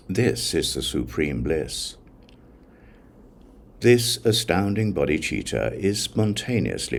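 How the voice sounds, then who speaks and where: A man's voice: sits low, gravelly, a little mellow. A man giving a solemn, declarative scripted reading of sacred texts as an audiobook narrator.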